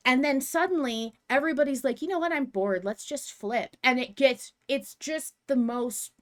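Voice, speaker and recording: A woman co-hosting a casual fan podcast, talking in an animated, emphatic and rambling way with informal language. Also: high-pitched, normal speed. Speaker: podcast co-host.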